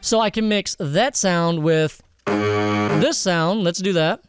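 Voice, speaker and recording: A man hosting a casual software tutorial in an informal, slangy way, swearing now and then. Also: sits high, slow, smooth, no echo, faint music behind. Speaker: tutorial host.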